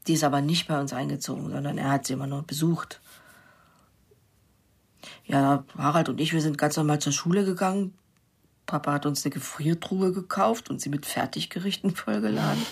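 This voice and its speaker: Low, very husky middle-aged mother. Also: exaggerated voice. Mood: reminiscing. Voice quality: husky, breathy, gravelly. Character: plain-spoken working-class mother, rueful about her brother. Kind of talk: naturalistic radio crime drama acting.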